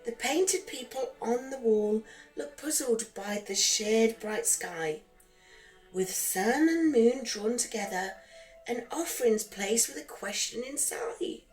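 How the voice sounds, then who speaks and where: Slow, higher-voiced woman reading a bedtime story. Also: lively intonation, a little mellow, low-quality recording. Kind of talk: rhyming children's bedtime story narration. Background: background music.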